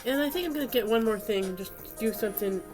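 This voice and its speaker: A woman's voice: fairly high pitch, quick pace, music in the background. A woman with a stuffy, congested voice, hosting a casual craft video with rambling, unscripted narration as she works.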